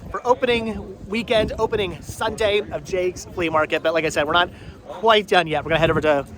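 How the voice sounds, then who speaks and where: High-voiced, chatty man vlogging. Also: a little fast, a bit bright. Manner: chatty, rambling, repeats words for emphasis. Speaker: vlogger host. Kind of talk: casual vlog chat to camera and friends.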